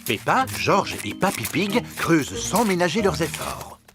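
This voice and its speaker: An actor narrating a French children's cartoon in a clear, friendly storytelling way. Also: medium pitch, big pitch swings, measured pace, music in the background.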